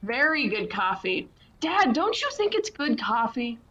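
Peacekeeping radio play daughter, played by a woman: bright, slow, deliberate prompting, on a lo-fi mic. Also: on the high side, big pitch swings, full character voice. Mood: coaxing. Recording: dull, noisy lo-fi microphone.

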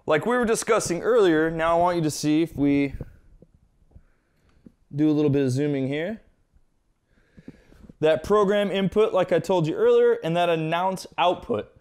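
A man's voice: a little high, moderate pace, long pauses, a little mellow, clean tone. A man presenting a hands-on equipment tutorial, explaining step by step in an informal, conversational way.

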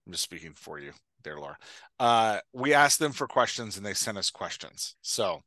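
A man's voice: on the low side, big pitch swings, normal speed, sharp tone, dry recording. A man in his forties hosting a podcast, chatting casually in a jokey, self-deprecating way into a headset mic. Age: 40s. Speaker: podcast host. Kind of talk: casual unscripted podcast banter. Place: indoor room, on a video call.

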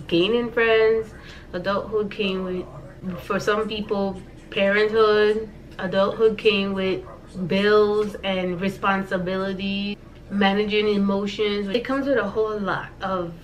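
A woman's voice: mid-range voice, poor audio. An American woman in her thirties chatting casually and playfully to camera as a vlogger, repeating phrases for emphasis. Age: 30s. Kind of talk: casual chatty life-update vlog to camera.

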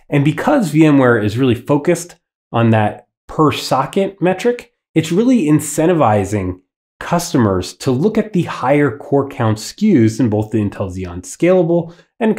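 A man's voice: mid-range voice, darkish tone. A man in his thirties with a nasal voice from a cold, hosting a tech channel and explaining to camera from a small studio. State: sick with a head cold. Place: small indoor studio with wood backdrop.

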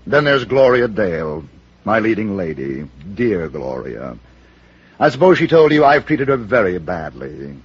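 A pompous TV star in a 1950s radio drama. His voice is husky and his phrasing formal and affected, haughty throughout, on a dull vintage recording. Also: lowish voice, very animated, unhurried, exaggerated voice. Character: haughty, touchy male TV comedy star.

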